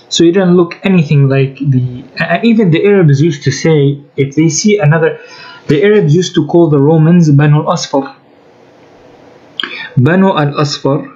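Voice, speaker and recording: A man's voice: a little high, dark tone, lo-fi sound. A man giving a commentary while reading from sources, often checking with "right?" and restarting sentences. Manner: explanatory, frequent "right?" tags and restarts. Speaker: religious commentator.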